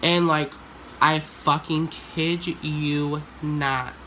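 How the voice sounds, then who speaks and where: A woman in her twenties, raspy from a cold, rambling through a profane, sarcastic storytime vlog. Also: low-pitched, steady tone, very slow, bright, forceful tone, a little hiss or hum, low-quality recording. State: sick with a cold. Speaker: vlogger.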